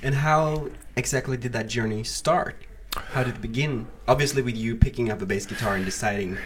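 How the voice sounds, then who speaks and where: A man's voice: mid-range voice, brisk, slight background noise. A man from Norway with a Norwegian accent, interviewing casually as a podcast co-host.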